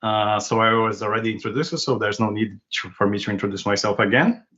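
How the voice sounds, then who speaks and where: A man from Brazil giving a technical lecture over a video call in a relaxed, loosely phrased way. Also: fairly low pitch, a little fast. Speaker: guest lecturer. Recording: dull lo-fi web call audio.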